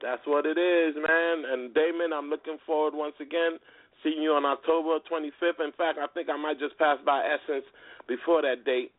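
A man's voice: a little high, steady tone, moderate pace, dry recording. A man hosting a casual talk radio interview in a slangy, conversational way. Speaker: radio show host. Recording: phone-like internet radio with background music.

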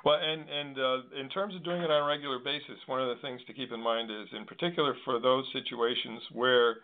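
A man hosting a conversational talk show, with halting, hesitant phrasing. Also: mid-range voice, steady tone. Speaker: host. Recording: thin phone-line sound.

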